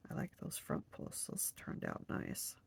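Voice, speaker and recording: A woman crocheting on camera, a little stuffed up, chatting to herself and counting stitches under her breath. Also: a little slow. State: stuffed up nose. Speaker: crochet vlogger. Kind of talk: casual hands-on crafting demo.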